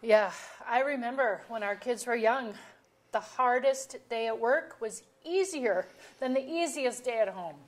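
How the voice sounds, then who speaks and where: An actress plays a middle-aged wife and mother with a fairly high voice. She is blunt and teasing, delivering her lines dryly in a naturalistic stage comedy reading. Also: a little slow, lightly acted. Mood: dryly amused. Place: auditorium stage.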